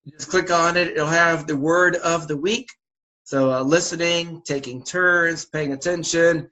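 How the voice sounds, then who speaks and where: A man with a slightly raised pitch, speaking over a video call as he walks volunteer coaches through league details. He sounds a little sniffly from a sore throat. His delivery is loose and instructive, full of "uh"s and repeated "again"s. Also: unhurried, poor audio. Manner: repetitive, relaxed and instructive, many fillers. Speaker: youth basketball league organizer. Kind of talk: coaches' orientation briefing.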